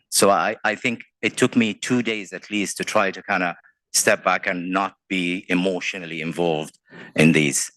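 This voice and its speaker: A man with a very low, bright voice, reflecting on his work as a panelist. He talks with halting restarts. The recording is dry. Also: dry recording, rough recording. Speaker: neurosurgeon, panelist. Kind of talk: conference panel talk.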